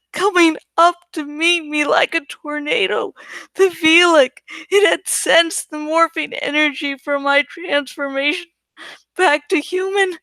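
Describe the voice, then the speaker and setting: A woman voicing a desperate, exhausted young girl in a dramatic, intense first-person storybook reading with drawn-out emphasis. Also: extremely high pitch, a bit bright, no echo, heavily acted. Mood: fearful, weary. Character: desperate, exhausted young girl narrating her morphing battle.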